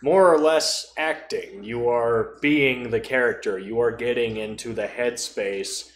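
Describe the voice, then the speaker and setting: A man in casual podcast conversation, speaking in a halting way as he thinks aloud. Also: mid-range voice. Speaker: podcast co-host. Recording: roomy echo, faint background noise.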